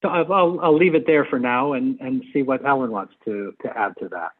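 Middle-aged guy being interviewed, husky voice, kinda careful and halting, medium pitch. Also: phone-quality sound. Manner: hesitant, measured, repeats words while choosing them. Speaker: theatre adapter and actor, guest. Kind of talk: interview conversation.

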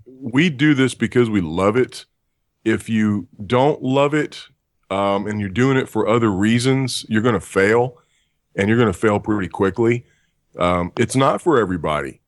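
A male voice actor with a deep, low voice talks through his craft in an interview, slipping in deadpan, self-deprecating jokes. The recording is close and dry with no room around it. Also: unhurried, no room sound. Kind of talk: interview answers.

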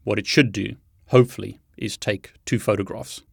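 A man presents a technical demonstration as host and instructor. His voice sits a little low and is recorded dry, with no room sound. He explains each step in turn as he goes. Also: fairly even intonation, normal speed, gravelly, breathy edge, no room sound. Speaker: tech video host and instructor. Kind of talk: hands-on demonstration talk.

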